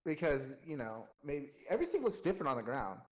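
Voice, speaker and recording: A man's voice: a little high, big pitch swings, fast. A man casually explaining things like an informal coach, walking through examples. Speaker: air traffic controller coaching a flight sim player.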